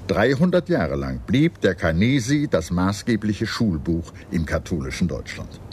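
Male history narrator, low register, measured formal scripted read, noisy background. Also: big pitch swings, darkish tone. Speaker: documentary narrator.

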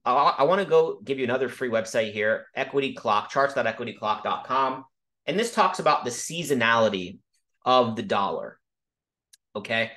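Fast, emphatic man teaching on a call. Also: mid-range voice, sharp tone. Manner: fast, emphatic, frequent "okay?" check-ins. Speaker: crypto educator hosting a Zoom call. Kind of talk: casual screen-share teaching.

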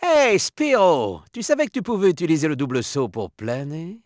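High, lively cocky cheetah sidekick. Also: male voice, moderate pace, no room sound, clean studio sound. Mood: upbeat, helpful. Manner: breezy, boastful, chummy. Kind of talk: video game character voice acting in French.

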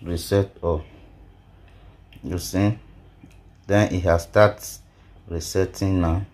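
A man narrating a step-by-step phone tutorial as a video host, halting and repeating himself. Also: low-pitched, fairly even intonation, darkish tone. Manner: halting, repetitive delivery.

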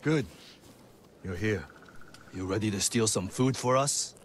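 An actor playing a cocky, wry leader of a hungry warrior band in a video game, guarded in manner. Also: male voice, lowish voice, measured pace, natural voice. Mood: grim, wry. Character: cocky, wry samurai-era warband leader, loyal but hungry. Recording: game audio with ambient noise behind.

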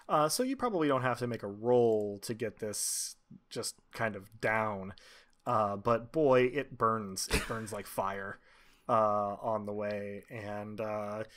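A man acting as game master, improvising narration of a tabletop game in a halting, conversational way. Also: fairly low pitch.

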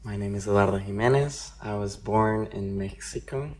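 A young man from Costa Rica, a dancer, reflecting in a searching way with frequent pauses and fillers. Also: on the low side, a little mellow. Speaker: dancer and collaborator. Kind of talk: reflective interview talk.

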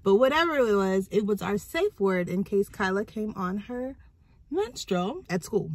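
A young woman vlogging from a parked car, hoarse from a cold, chatting in an animated storytelling way. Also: medium pitch, normal speed, dry recording. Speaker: vlogger mother. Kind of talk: casual vlog chat. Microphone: phone held at arm's length.

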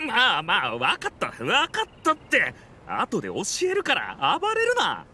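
A man playing a level-headed, shrewd young male desert mercenary in an anime game, casual and measured in wording. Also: high voice, huge pitch swings, sharp tone, slight background noise, exaggerated voice. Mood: reassuring. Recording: music behind the voice.

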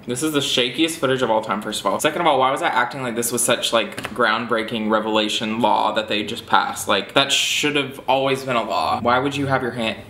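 A man's voice: medium pitch, a little fast, few pauses, sharp tone, slight background noise. A man of twenty-one giving wry, self-mocking commentary on an old video of himself, filmed at a kitchen counter. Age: early 20s. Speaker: vlogger reacting to an old video. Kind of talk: casual reaction commentary. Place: kitchen in an apartment. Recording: roomy kitchen echo.

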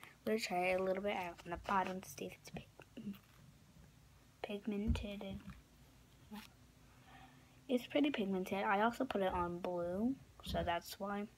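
A young woman talks through a craft project, her voice raspy and often dropping to a whisper. She rambles, corrects herself and leans on fillers. She sits close to a phone camera in a small bedroom, and the recording has a clear hiss under it. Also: medium pitch, moderate pace, long pauses. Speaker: craft vlogger. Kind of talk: casual craft tutorial. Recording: noisy home recording.

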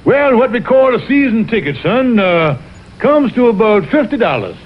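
Scheming cartoon colonel, Southern accent, high voice, pompous flowery talk, noisy vintage soundtrack. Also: male voice, sing-song, normal speed. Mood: wheedling. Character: blustering, scheming Southern colonel fox, married freeloader. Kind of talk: 1960s TV cartoon acting. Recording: muffled, noisy old television soundtrack.

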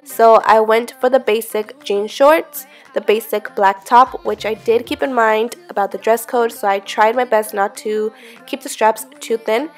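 A woman's voice: on the high side, steady tone, unhurried, faint music behind. Chatty young woman vlogger, a bit sick. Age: young adult. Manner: chatty and upbeat, with small slips and giggles. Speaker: fashion vlogger. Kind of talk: casual vlog talk to camera. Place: small bedroom with string lights, indoors.

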